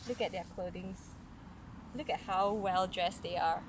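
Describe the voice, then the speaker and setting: A woman's voice: on the low side, steady tone, a little slow, noisy background. A mother reading a children's story aloud, breaking off for casual explanations and questions to her child.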